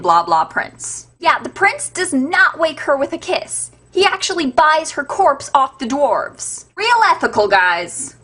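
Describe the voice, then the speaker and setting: A woman's voice: a little high, sharp tone. A woman host giving an irreverent, sarcastic, sweary comedic retelling of fairytales as scripted narration. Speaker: storyteller and web show host.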